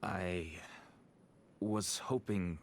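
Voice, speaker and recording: A man's voice: low-pitched, fairly even intonation, extremely slow, no echo, full character voice. An actor playing a weary merchant father who earnestly pleads for his sick child in a video game. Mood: sorrowful. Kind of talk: scripted video game dialogue. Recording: game music behind the voice.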